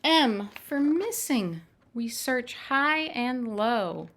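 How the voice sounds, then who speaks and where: Slow-paced woman reading to children. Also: fairly high pitch, big pitch swings, fairly bright tone. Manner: measured, simple phrasing with asides to young listeners. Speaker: children's storytime reader. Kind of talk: read-aloud picture books and action songs for young children. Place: at home.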